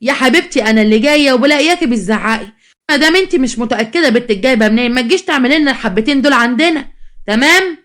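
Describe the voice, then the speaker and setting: Woman doing a brash, fast-talking Egyptian market mother. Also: high-pitched, animated. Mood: fierce, sarcastic. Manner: confrontational, sarcastic, street-tough. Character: bold brash loud mother of the bride, market stallholder. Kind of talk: dramatized storytelling.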